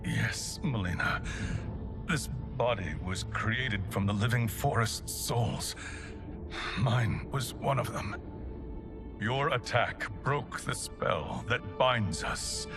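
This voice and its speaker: Grave resurrected king, low and very slow, measured. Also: animated, over music. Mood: solemn. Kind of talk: video game cutscene acting.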